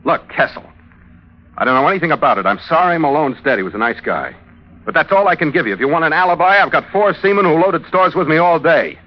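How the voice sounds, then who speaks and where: Tough, sardonic American sea captain, old radio. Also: male voice, fairly high pitch, very animated, quick pace. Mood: sardonic, defiant. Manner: terse, wisecracking. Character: tough, sardonic male sea captain, narrating his adventure. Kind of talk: old-time radio drama, hard-boiled first-person narration. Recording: dull vintage radio recording, music behind.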